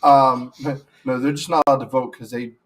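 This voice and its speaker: A man's voice: medium pitch, little pitch movement, normal speed, a bit bright. Rambling male podcast co-host. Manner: rambling, frequent restarts. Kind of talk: casual political chat.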